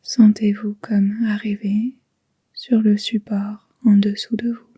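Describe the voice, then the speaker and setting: A woman leads a guided relaxation in a very breathy, whispery voice. Her delivery is slow and gentle, with long pauses between phrases, and stays on an even, level tone. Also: mid-range voice, no room sound. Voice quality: soft, breathy, near-whispered. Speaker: yoga nidra guide.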